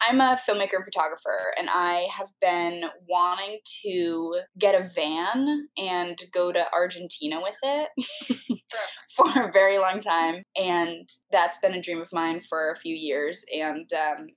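A woman's voice: fairly low pitch, poor audio. A woman in her twenties from Massachusetts, a podcast guest telling a story casually with laughs. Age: 20s. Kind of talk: casual chat.

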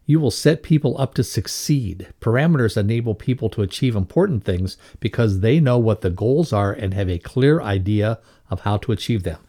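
A man's voice: on the low side, dark tone. A man reading a scripted instructional lesson aloud as a narrator. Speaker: reader of a leadership values lesson.

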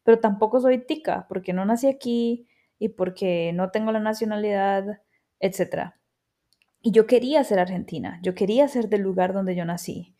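Mellow-voiced Costa Rican woman podcaster. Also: medium pitch, normal speed. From Costa Rica. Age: 30s. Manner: reflective and chatty, with frequent quoted asides. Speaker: podcast host. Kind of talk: casual personal monologue.

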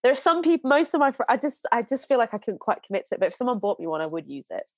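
A woman co-hosting a casual podcast, her voice congested from hay fever, laughing often and dropping low and soft at the ends of her phrases. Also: sing-song, quick pace, slight background noise. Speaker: podcast co-host. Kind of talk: casual chat, reading listener letters.

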